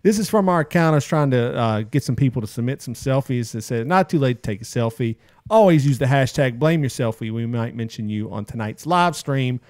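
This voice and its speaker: A man's voice: mid-range voice, animated, brisk, a little mellow, no echo, studio quality. A man hosting a casual podcast, upbeat as he reads out listener posts and plugs. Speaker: podcast host.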